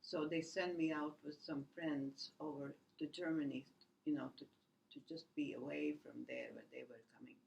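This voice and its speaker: A woman, recalling her life in a halting oral history interview, full of restarts and fillers. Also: low voice, steady tone, creaky, darkish tone, muffled recording, a little hiss or hum. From Latvia. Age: 80s. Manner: halting, many restarts and "you know" fillers. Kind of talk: rambling personal recollection.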